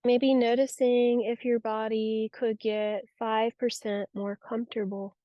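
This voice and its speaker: A woman guiding a yoga nidra relaxation practice with soothing, unhurried, gentle instruction. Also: fairly high pitch, little pitch movement, dry recording, muffled recording. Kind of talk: guided relaxation meditation.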